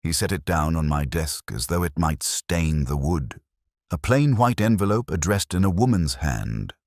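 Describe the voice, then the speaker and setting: A man playing a brooding, erudite middle-aged detective, narrating an audio drama in measured, reflective, literary phrases. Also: deep voice, animated, darkish tone, no echo, clean studio sound. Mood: wry, uneasy. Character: brooding, erudite male English police detective, melancholy, observant.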